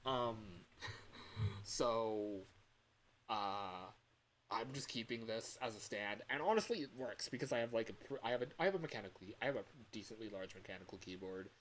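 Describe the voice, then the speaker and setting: A young man livestreaming in a casual chat, rambling and halting as he corrects himself mid-sentence. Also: lowish voice, animated, a bit bright, slight background noise, poor audio. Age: young adult. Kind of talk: casual chat with stream viewers.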